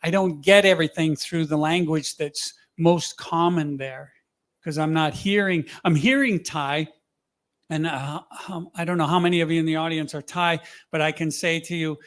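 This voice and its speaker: A man speaking to an audience in a casual, halting way, full of fillers and restarts. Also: fairly high pitch, fairly even intonation, a bit bright. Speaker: speaker on a panel.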